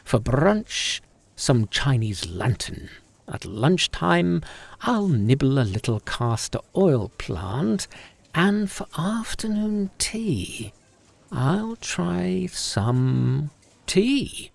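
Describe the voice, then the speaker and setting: A man playing a bold, comical hero of ancient legend who tastes herbs, with a gravelly voice and theatrical delivery. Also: a little high, very animated, very slow, full character voice. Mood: deliberate. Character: Shen Nong, bold, comical ancient herb-tasting hero.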